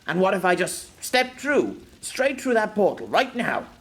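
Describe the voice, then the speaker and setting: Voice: medium pitch, big pitch swings, measured pace, creaky, a bit bright, voice pushed up, exaggerated voice. A narrator voicing a lonely, stubborn male writer who speaks desperately in an audiobook. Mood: anguished. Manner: desperate, insistent. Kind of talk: audiobook character voice.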